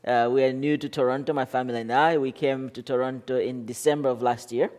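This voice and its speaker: A man from Malawi, a guest pastor, leading a church service in an earnest, halting way with frequent fillers. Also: mid-range voice, steady tone, a little mellow.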